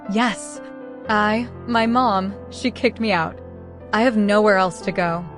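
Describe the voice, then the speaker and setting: A frightened pregnant teenage girl, played by a woman, tells and lives her roleplay drama. She stammers with emotion yet keeps some resolve. The voice rings in a heavy echo over soft music. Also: mid-range voice, takes it slowly, natural voice. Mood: pleading. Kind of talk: dramatic first-person roleplay story acting and narration. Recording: echoey, long reverb, music underneath.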